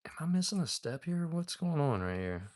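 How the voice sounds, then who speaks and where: A man's voice: on the high side, big pitch swings, normal speed, no room sound, clean studio sound. A man in his thirties chatting casually on a live stream while he builds a model kit, laid-back and rambling, calling viewers dude and man. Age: 30s.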